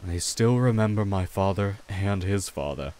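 Male actor as a vampire king, low-pitched, courtly, reassuring, wry, unhurried, intimate roleplay addressed to the listener. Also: expressive, warm tone. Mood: courteous, welcoming. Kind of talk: ASMR roleplay, speaking to the listener.

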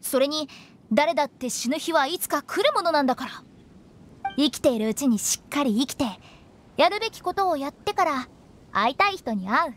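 A woman's voice: high voice, animated, fairly quick, slight background noise. A woman voicing a playful, cryptic young female funeral director in a Japanese anime game, with a teasing, theatrical delivery. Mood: encouraging, breezy. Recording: studio voice over background music.

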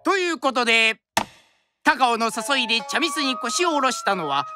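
A woman's voice: a little high, expressive, moderate pace, close to an everyday voice. A woman playing a theatrical traditional storyteller, narrating a drama in a formal, declamatory way. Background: music.

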